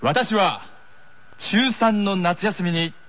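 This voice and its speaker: A man's voice: high-pitched, expressive, extremely slow, a bit bright, no echo. A man appearing as a radio show guest, chatting casually during a game.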